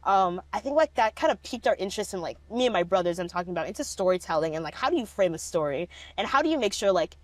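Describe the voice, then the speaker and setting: A woman's voice: lowish voice, animated, fast talker, few pauses, dry recording. A young woman in a casual interview, often laughing and filling her speech with "like". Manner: laughing often, many "like" fillers. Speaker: documentary subject, speech competitor.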